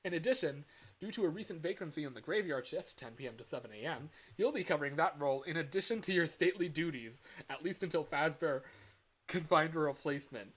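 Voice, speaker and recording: A man performs an upbeat corporate caller briefing a new hire in a video game phone message. The delivery is official and presentational. The pitch is lifted well above ordinary male chat, keeping the briefing cheerful. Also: lively intonation, fast talker, a bit bright. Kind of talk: improvised game phone-call voice line.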